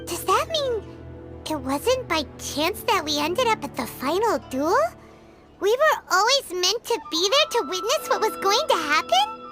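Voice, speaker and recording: A woman voicing a tiny, childlike, curious and cheeky fairy companion in a video game, with a squeaky, bubbly voice. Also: sing-song, unhurried, over music, exaggerated voice. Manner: bubbly, exclamatory, inquisitive. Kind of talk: English video game voice acting.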